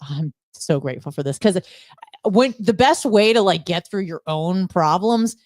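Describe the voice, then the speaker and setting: Woman gossiping candidly, slightly low dry voice. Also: moderate pace, no echo. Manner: animated, candid storytelling with rambling asides. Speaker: radio show co-host. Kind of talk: casual gossipy chat.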